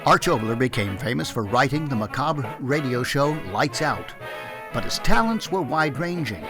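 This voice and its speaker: Male host, scripted radio drama introduction, mid-pitched voice, clear background music. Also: lively intonation, normal speed. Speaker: host, announcer.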